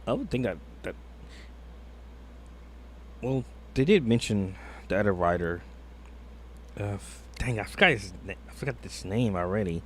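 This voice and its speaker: A man's voice: on the low side, lively intonation, moderate pace, long pauses, slight background noise. A man streaming a reaction video, thinking aloud in a hesitant, rambling way full of fillers. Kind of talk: casual reaction commentary.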